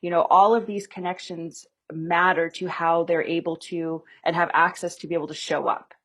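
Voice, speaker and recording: A woman's voice: fairly low pitch, level tone, moderate pace. Woman losing her voice, chatty. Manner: chatty, talks in loose restarts. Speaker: panel speaker from a youth sport funder. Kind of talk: casual webinar talk.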